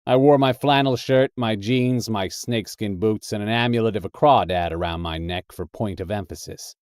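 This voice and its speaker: A man voicing a tough, thickset American drifter who narrates his own purgatory story in a deliberate, hard-boiled, colourful way. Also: on the low side, a little mellow, clear, resonant tone, no echo, clean studio sound, natural voice. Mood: brooding, deliberate. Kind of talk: dramatic first-person short story narration.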